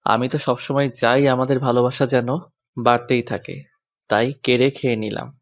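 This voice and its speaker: A man's voice: medium pitch, steady tone, normal speed, lightly acted. A man playing a mischievous, doting young husband who teases and coaxes in a romantic audio story. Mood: teasing. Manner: teasing, coaxing.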